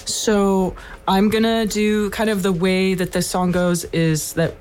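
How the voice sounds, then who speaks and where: A woman's voice: mid-range voice, flat delivery, unhurried, darkish tone, slight background noise. A woman born in Ukraine whose first language is Russian, a folk musician talking about her band's music in a loose, rambling way, full of "like" and "um". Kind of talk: casual on-air chat.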